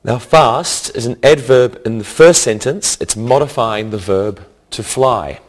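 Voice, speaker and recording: A man teaching an English grammar lesson in a scripted, deliberate, instructional way, enunciating carefully. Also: mid-range voice.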